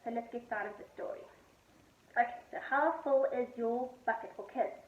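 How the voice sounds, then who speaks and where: A woman's voice: fairly high pitch, normal speed. A woman teacher talking to young children in simple, patient explanations, on a roomy, distant recording. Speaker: teacher reading a story to children. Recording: roomy, distant, dull and noisy.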